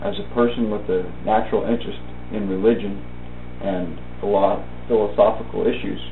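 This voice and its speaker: Male reader for a reflective essay, lowish pitch, measured halting phrasing, thin phone-like sound. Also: steady tone. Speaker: reader of a thought-for-the-day video. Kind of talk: read-aloud personal essay, meditation. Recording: tinny band-limited audio, roomy echo, faint hum.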